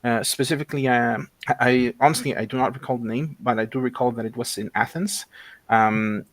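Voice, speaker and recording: A man's voice: medium pitch, brisk, no echo. Hesitant man in his thirties, dry sound. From Mexico. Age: 30s. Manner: hesitant, many fillers and restarts. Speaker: guest. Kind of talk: casual interview conversation.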